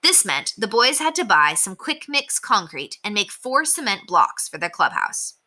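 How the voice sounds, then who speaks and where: A woman teacher reading a children's chapter book aloud in an expressive storytelling manner. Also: medium pitch, normal speed. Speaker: elementary teacher.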